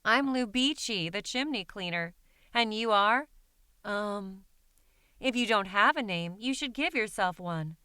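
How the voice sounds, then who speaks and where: A woman's voice: medium pitch, lively intonation, measured pace, bright, forceful tone, clean tone, dry recording, clean studio sound. A woman doing a kindly young chimney sweep. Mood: calm. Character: friendly soot-covered young chimney sweep boy.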